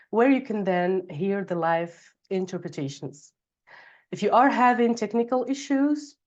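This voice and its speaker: A woman's voice: medium pitch, a little mellow. Brief: woman webinar host, slight cold, lo-fi video-call audio, bilingual with fillers. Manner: bilingual, reads housekeeping notes with frequent fillers. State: recovering from a cold. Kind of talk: scripted webinar hosting.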